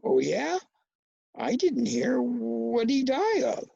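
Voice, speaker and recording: Narrator doing a cocky bully kid in a storybook, taunting, slangy, husky creaky voice. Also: mid-range voice, wildly swooping pitch, slow, mellow, no room sound, highs cut off, pitched above the performer's own voice, exaggerated voice. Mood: mocking. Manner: brash, goading, slangy. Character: cocky bullying young boy, taunting and combative.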